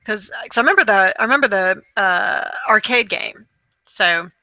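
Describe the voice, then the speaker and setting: Bright-voiced woman chatting casually. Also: medium pitch, normal speed, no echo, dull audio. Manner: animated storytelling with acted-out quotes. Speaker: podcast co-host. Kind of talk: casual chat about films and video games.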